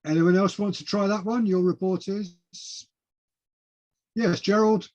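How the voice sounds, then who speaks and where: Man, fairly high voice, encouraging informal moderator, dull video-call sound. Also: fairly even intonation, unhurried, a little mellow. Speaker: class moderator. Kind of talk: casual online class moderation. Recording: muffled online call audio.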